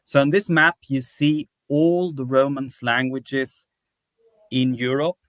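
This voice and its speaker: A man lecturing in an academic webinar, explaining slides in a halting, step-by-step way. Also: mid-range voice, steady tone, a bit bright, no room sound. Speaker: academic presenter.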